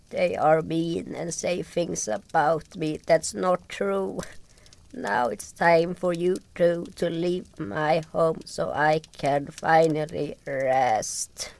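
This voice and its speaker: A man's voice: a little high, creaky, pitched above the performer's own voice. A man voicing a weary old amphibian creature in a fantasy audio story, talking in a plain, grumbling way with odd grammar. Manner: slow, plain, complaining talk with odd grammar.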